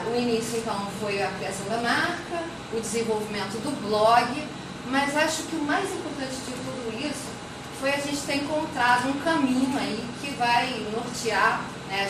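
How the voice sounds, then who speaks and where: Brazilian lady professor chatting through a research talk, far from the mic, clear background noise. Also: on the high side. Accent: Brazilian Portuguese. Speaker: university communication professor presenting research. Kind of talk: informal academic presentation. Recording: very echoey, distant mic, noisy background.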